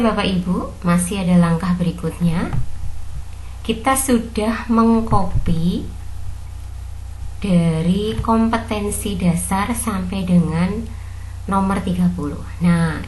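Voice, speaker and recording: A woman with a Javanese Indonesian accent narrating a software tutorial step by step, instructing politely and repeating each step. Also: lowish voice, slight background noise, poor audio.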